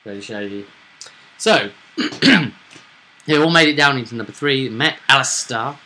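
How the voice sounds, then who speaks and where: Bright-voiced man recapping a fantasy game. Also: medium pitch, expressive, unhurried, poor audio. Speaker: game master recapping a tabletop campaign. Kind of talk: casual recap of a roleplaying game.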